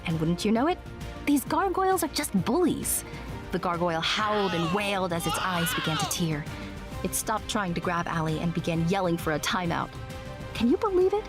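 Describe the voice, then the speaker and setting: A woman's voice: on the low side, moderate pace. A woman playing a small, proud winged secret agent who narrates an audio drama expressively and earnestly. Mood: proud, excited. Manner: expressive, self-important storytelling. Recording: music behind the voice.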